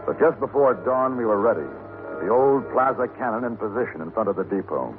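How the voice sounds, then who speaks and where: An actor playing a cool, dry-witted gunfighter for hire in a 1950s Western radio drama, wry, unhurried and self-assured. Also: male voice, mid-range voice, lively intonation, music in the background, close to an everyday voice. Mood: calm, wry. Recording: muffled, hissy old radio recording.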